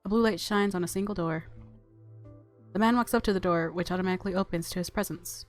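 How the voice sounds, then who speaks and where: A woman's voice: lowish voice, quick pace. A woman reading visual novel narration aloud as a let's player, with casual, playful reactions. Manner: playful, giggly commentary. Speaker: let's play YouTuber. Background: game music.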